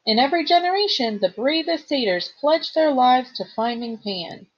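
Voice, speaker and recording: A woman voicing an earnest, worried young male satyr in a storybook reading, with a husky voice. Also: high-pitched, lively intonation, normal speed, lo-fi sound, voice pushed up. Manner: earnest, plaintive, emphatic.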